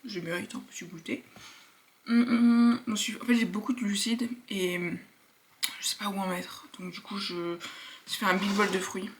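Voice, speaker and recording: A woman's voice: medium pitch, fairly even intonation, moderate pace, a little hiss or hum. A woman in her twenties chatting casually to her viewers in a slangy vlog style. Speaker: vlogger, university student. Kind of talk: casual vlog chat to camera.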